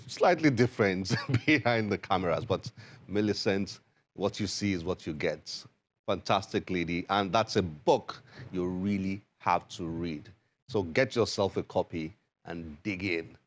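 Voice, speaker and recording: A man hosting a TV show, addressing viewers directly in a conversational way. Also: fairly low pitch. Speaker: TV show host. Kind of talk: presenting to camera.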